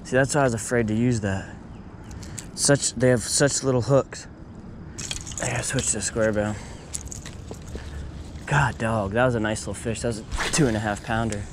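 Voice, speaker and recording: A young man vlogging casually while fishing outdoors at a pond, talking to viewers with excitement over each catch. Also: mid-range voice, normal speed, long pauses, background noise. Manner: excited, upbeat, talks to viewers as y'all. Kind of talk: casual outdoor vlog narration. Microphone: action camera on chest or in hand.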